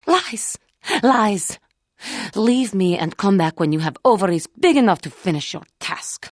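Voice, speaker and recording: A woman playing a proud, tormented fallen warrior seeking redemption in a video game, speaking in formal, solemn and deliberate phrases. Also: medium pitch, animated, no room sound, clean studio sound. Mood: angry, defiant. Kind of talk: dramatic video game character dialogue.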